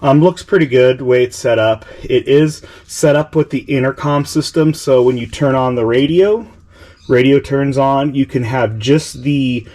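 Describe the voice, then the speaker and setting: A man's voice: medium pitch, moderate pace, a little mellow. A man giving a casual, enthusiastic hands-on product walkthrough, speaking informally and a little hesitantly into an action camera. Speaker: vlogger demonstrating vehicle radio gear. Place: workshop, in and around a side-by-side vehicle. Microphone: handheld GoPro action camera.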